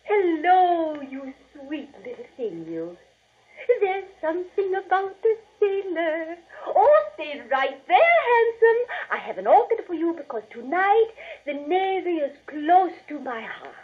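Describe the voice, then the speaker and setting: A high-voiced, gushing chanteuse coos at her audience with syrupy, theatrical sweetness. She draws out her words slowly. The sound is a muffled old 1940s radio drama. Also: sing-song, heavily acted.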